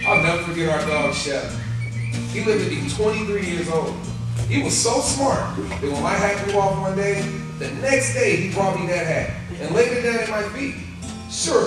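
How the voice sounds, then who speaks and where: A folksy, doting family father played by a man in an old-time radio play. His voice is fairly high and animated, heard from a distance in a very echoey hall with music. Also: moderate pace. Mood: folksy, boastful. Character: cheerful doting folksy 1950s salesman father. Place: theater stage before live audience. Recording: echoey live stage, distant mic, loud music.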